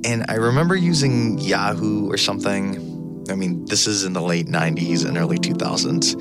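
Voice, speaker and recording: A man's voice: low voice, normal speed, over loud music. A man narrating a confessional first-person story for a podcast.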